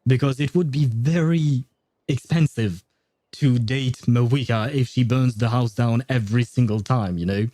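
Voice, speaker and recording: A man's voice: mid-range voice, moderate pace, dark tone, no room sound. A man hosting a casual gaming livestream, rambling and hesitant in a jokey way as he talks with his chat. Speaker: livestream host.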